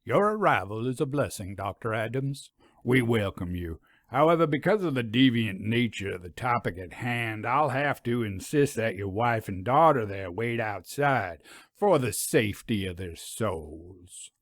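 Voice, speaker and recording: A man's voice: mid-range voice, lively intonation, unhurried, no echo, studio quality, natural voice. A man voicing a solemn, pompous older country minister with stiff, formal phrasing. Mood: solemn, stern.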